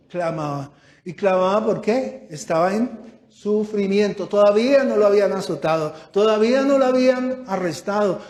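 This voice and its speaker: A man's voice: high voice, a little mellow. A male pastor preaching a sermon, asking the congregation questions and raising his voice for emphasis. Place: church hall. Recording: echoey church room.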